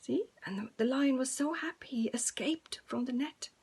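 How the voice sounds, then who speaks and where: A woman's voice: high voice, moderate pace, creaky, a little mellow, husky. A woman telling a story to preschool children in an informal way, with simple, repeated phrases addressed to young listeners.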